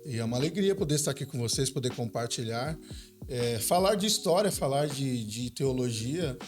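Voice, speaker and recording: A man in his forties with a São Paulo accent, a pastor telling his life story in a relaxed, chatty podcast conversation, laughing easily. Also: mid-range voice, moderate pace, over music. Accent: Paulistano Brazilian Portuguese. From São Paulo, Brazil. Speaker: pastor and seminary director.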